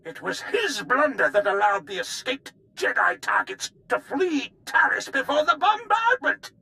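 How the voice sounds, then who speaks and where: An actor playing an unhinged, incoherent old military officer in a video game, ranting with paranoia and erratic bursts of shouting. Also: male voice, extremely high pitch, sing-song, a little slow, gravelly, exaggerated voice. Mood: accusing, outraged. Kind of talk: theatrical video game voice acting.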